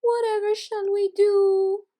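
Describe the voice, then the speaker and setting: A frantic storybook mother poodle, acted out by a woman reader, crying out in a high, loud voice. She sounds desperate and draws her words out slowly and dramatically. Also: little pitch movement.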